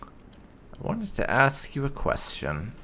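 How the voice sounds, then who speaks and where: A man hosting a roleplay video game playthrough, speaking in a measured, theatrical, drawn-out way. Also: mid-range voice, huge pitch swings, sharp tone, a little hiss or hum. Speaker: gaming video host. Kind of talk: roleplay video game commentary, voicing own character's lines.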